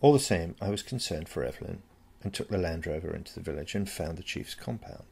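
Guy reading a story, deep raspy voice, scripted narration. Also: expressive, quick pace, a little mellow. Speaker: story reader.

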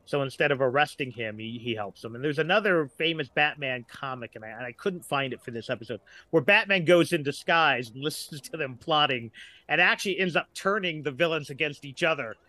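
A man's voice: on the high side, brisk, bright, forceful tone, poor audio. A middle-aged man talking casually and discursively as a podcast guest.

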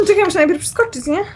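Giggly high-voiced woman gaming. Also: darkish tone, a little hiss or hum. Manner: giggly, laughs often, playfully complaining. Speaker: co-player. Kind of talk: casual let's-play chat.

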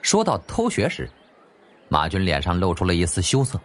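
A man's voice: deep voice, wildly swooping pitch, normal speed. A man narrating an audiobook as dramatic, scripted storytelling.

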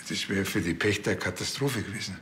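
A man's voice: deep voice, quick pace, a bit bright, slight background noise. An actor playing a cocky, stubborn village policeman with a Bavarian accent, talking in a colloquial, matter-of-fact way. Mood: earnest, self-assured. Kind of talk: naturalistic comedy-crime dialogue.

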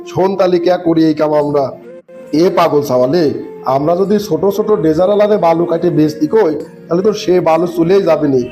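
A high-voiced, corrupt cartoon official played by a man with a northern Bangladeshi accent. He is bossy and smug, talking down to his listener as he explains things. Music plays behind the voice. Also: darkish tone, lightly acted. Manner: patronising, smugly explanatory. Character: corrupt, bossy male government river-dredging official. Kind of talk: comic cartoon dialogue.

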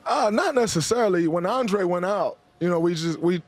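A young man guest on a postgame studio set, speaking into a handheld mic in a relaxed, thoughtful way with many fillers. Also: high-pitched, moderate pace, no room sound. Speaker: guest player.

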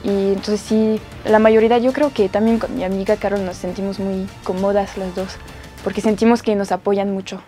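A woman's voice: medium pitch, little pitch movement, normal speed, warm tone, faint music behind. A young woman from France with a French accent, an exchange student telling her story in simple, searching phrasing. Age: young adult. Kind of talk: interview testimony. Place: studio set. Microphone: lapel.

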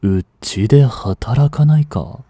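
A man acting as a cynical, aloof young street delinquent in a voice drama, with rough, casual, lazily teasing speech, close to the mic. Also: medium pitch, expressive, takes it slowly, warm tone, no echo, exaggerated voice. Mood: aloof, dismissive. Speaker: voice actor. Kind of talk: Japanese voice drama aimed at women, one-sided dialogue. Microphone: close studio mic. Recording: dry close-miked studio recording.

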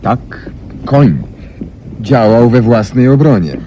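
An actor playing a brilliant, self-assured detective, explaining deductions theatrically with dramatic pauses in a radio drama. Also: male voice, medium pitch, expressive, muffled recording. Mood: confident, teasing. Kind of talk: 1970s Polish radio drama acting. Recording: noisy 1970s radio archive recording.

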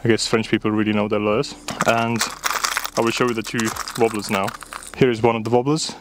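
A man's voice: on the low side, level tone, brisk, very noisy. A young man, chatting candidly to viewers as he fishes along a riverbank, recorded on a body-worn action camera outdoors. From Estonia. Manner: chatty and candid, talks to viewers while fishing. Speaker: fishing vlogger. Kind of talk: casual outdoor vlog chat.

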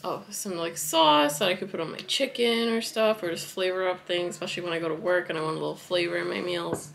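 Chatty woman, lowish voice, noisy kitchen. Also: quick pace, vocal fry. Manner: chatty, loose, many fillers and likes. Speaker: wellness vlogger. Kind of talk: casual grocery haul vlog. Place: home kitchen, indoors. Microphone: camera on the counter, moving in and out of frame.

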